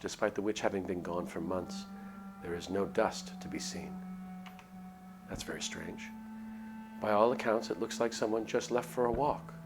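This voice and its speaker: A man's voice: low voice, slight background noise. A man streaming a visual novel, reading the narration aloud expressively with casual asides. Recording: music under the voice. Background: game background music.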